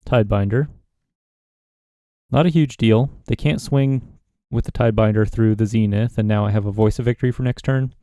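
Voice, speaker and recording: A man's voice: lowish voice, normal speed, no echo, clean studio sound. A man giving casual commentary while playing a card game, thinking aloud about his choices. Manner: relaxed, musing, talks himself through plays. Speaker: gaming content creator.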